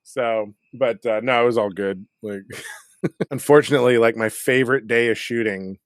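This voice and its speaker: Brief: male actor guest, chatty and animated, filler-heavy, laughs a lot; dead room. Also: mid-range voice, moderate pace, dry recording, clean studio sound. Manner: animated, laughing often, many filler words. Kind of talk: casual chat.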